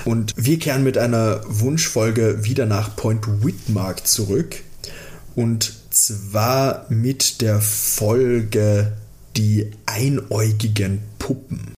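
A man's voice: lowish voice, fairly even intonation, takes it slowly, a little mellow. A man chatting casually as a podcast co-host. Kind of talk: casual chat.

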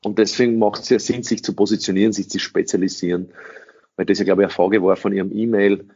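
A man's voice: on the low side, steady tone, fast, a little mellow, slightly rough. A middle-aged man, an English speaker, answering interview questions as a sales trainer in an emphatic way, repeating phrases and asking rhetorical questions. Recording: dull lo-fi recording.

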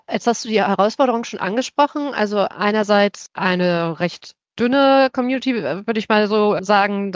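A woman's voice: mid-range voice, no room sound. A woman hosting an interview, asking friendly, polite questions and elaborating on them as she goes. Her voice comes through dry and slightly dull.

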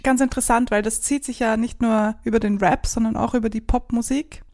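A woman's voice: fairly high pitch, fairly even intonation, a little fast, darkish tone. A woman chatting casually as an interviewer who is also a singer-songwriter. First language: German. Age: adult, not young teens. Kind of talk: casual conversation.

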